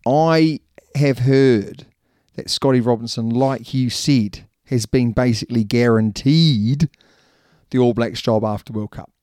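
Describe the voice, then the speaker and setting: Chatty New Zealand-accented man, mellow tone. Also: mid-range voice, unhurried, dry recording, studio quality. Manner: chatty, laughs easily, rambling asides. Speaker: podcast co-host. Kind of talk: casual rugby podcast chat.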